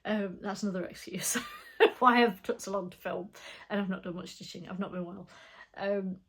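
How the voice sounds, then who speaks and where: Woman, thirties, stuffy with a cold, rambling casual vlog chat, soft-spoken, fillers. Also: medium pitch, steady tone, moderate pace. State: bunged up with a cold. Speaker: flosstube vlogger. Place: her home.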